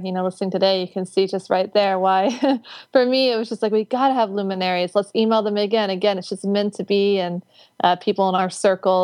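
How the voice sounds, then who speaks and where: Chatty woman host, flat delivery. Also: fairly low pitch, dry recording. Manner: effusive, rambling with many fillers and restarts. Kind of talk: casual interview chat.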